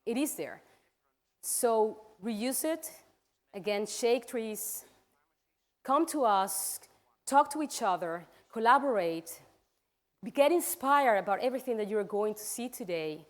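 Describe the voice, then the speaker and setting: A woman's voice: fairly high pitch, lively intonation, measured pace. Emphatic woman closing a conference talk. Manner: encouraging, emphatic. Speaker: speaker, organizer. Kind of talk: closing remarks. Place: conference hall. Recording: reverberant hall.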